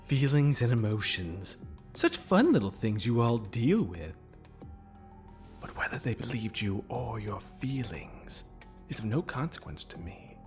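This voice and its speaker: A menacing demon predator in an audio drama. The delivery is slow and theatrically swooping, full of sardonic, mock-polite relish, over soft music. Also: male voice, fairly low pitch. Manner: drawling, sardonic, mock-courteous. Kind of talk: audio drama villain acting.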